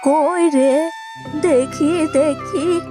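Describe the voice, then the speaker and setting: An elderly mother from a village fairy tale cartoon. She is querulous and quick to take offence, with a high voice and very slow, drawn-out delivery. Faint music plays behind her. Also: steady tone. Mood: curious, expectant. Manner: scolding, drawn-out complaining. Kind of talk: animated fairy tale acting.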